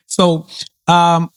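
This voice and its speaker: A man with a New Orleans accent hosting a podcast, talking in a relaxed, rambling way with many fillers and restarts. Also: on the high side, dry recording. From New Orleans, USA. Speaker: podcast host. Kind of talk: casual interview chat.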